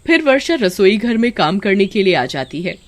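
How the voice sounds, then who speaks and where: A woman's voice: medium pitch, sing-song, moderate pace. A woman narrating a scripted story as a storyteller.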